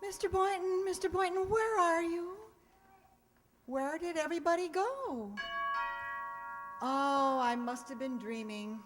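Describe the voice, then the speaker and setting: A woman plays a wry, lovelorn lady schoolteacher in old radio sitcom style. Her voice is high and theatrical, carried in a long hall reverb. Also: unhurried, pauses often, faint music behind. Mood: bewildered.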